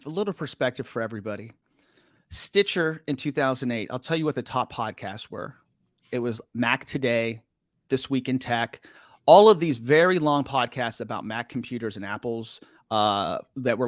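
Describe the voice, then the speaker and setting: A man hosting a podcast, asking his guest questions in a casual, animated way. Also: no room sound, studio quality. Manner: animated, questioning, rising pitch when asking. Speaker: podcast host. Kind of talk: casual interview talk.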